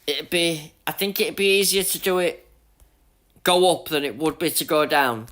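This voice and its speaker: A young Yorkshire man chats his way through a racing game on stream, greeting viewers by name and joking about his bad luck. His voice sits a touch high for a man, and his talk is easy and matey. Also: normal speed, a bit bright. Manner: chatty, jokey, greets chat by name, laughs often. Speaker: gaming livestreamer. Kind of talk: casual live-stream chat with viewers while playing.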